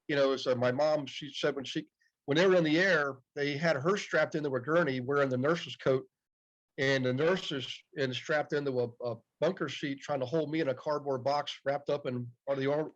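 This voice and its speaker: A man's voice: mid-range voice, normal speed. A man in his late fifties, a podcast guest telling stories in a casual, chatty way, often answering "yep". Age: 50s. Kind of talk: casual storytelling interview. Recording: dull, muffled audio.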